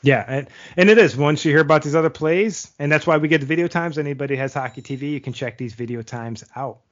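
A man co-hosting a hockey podcast, a goalie, reading play-by-play rundowns of highlight goals and chatting with the others. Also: fast talker, muffled recording. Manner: reads plays like sports commentary, then chats loosely.

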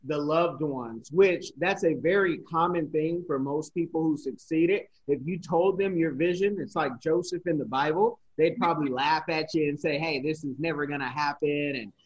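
A man's voice: on the high side, moderate pace, hardly any pauses, slightly rough. Earnest man interviewer, dull lo-fi audio. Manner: earnest, encouraging, restarts. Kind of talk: casual interview questions.

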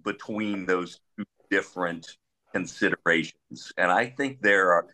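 Panel guest: man, police trainer, big voice, very low and forceful, blunt and measured. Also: poor audio. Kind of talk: panel discussion.

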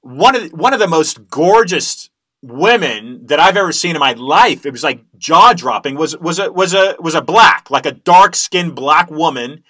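A man hosting an interview, halting and stumbling through his questions. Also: fairly high pitch, expressive, sharp tone. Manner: halting, many restarts and fillers. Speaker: host.

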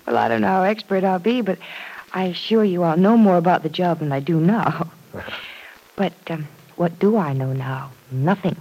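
An actress playing a modest, earnest young woman in a 1940s radio soap opera, polite and self-deprecating with a husky voice. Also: fairly low pitch, moderate pace, highs cut off. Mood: self-doubting, light. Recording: old radio drama recording.

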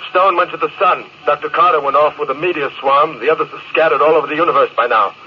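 Actor as a stern captain on noisy old radio. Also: male voice, fairly high pitch, fast, highs cut off, close to an everyday voice. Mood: weary, regretful. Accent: American. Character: proud, stern, emotionally closed spaceship captain and fleet commander. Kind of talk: classic American radio drama acting.

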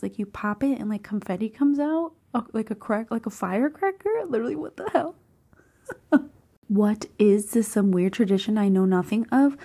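A woman vlogging in a hushed half-whisper, slightly hoarse, reacting to quiz questions with bursts of excited disbelief. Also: medium pitch. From Cuban family background. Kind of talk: casual chat, reading quiz questions aloud.

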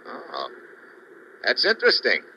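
A man's voice: mid-range voice, huge pitch swings, measured pace, sharp tone, slight background noise, poor audio. A man playing a wisecracking foreign correspondent in an old radio drama, with a breezy tough-guy delivery. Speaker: lead actor, foreign correspondent. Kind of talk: 1950s radio drama acting. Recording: dry old radio recording.

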